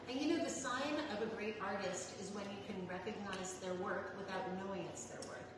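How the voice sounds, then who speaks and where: A woman's voice: medium pitch, a little fast, noisy background. A woman chatting casually, chiming in with short remarks about her father. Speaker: daughter of the guest. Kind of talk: casual chat. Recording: echoey room from far off, crowd behind.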